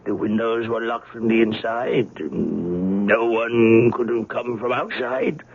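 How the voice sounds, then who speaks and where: Proper butler, formal, deferential, theatrical, heavily rasped voice, 1970s radio drama, narrow phone-like sound. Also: male voice, medium pitch, animated, takes it slowly, full character voice. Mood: insistent, reassuring. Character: male household butler, deferential, proper and anxious to reassure. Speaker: actor in a radio mystery play. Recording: muffled narrowband old radio broadcast.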